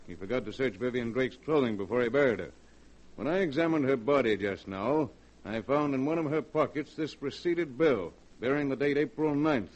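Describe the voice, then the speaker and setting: An actor in a 1940s radio drama playing a shrewd county coroner with a formal, deliberate American delivery. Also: male voice, mid-range voice, highs cut off, lightly acted. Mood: accusing, grave.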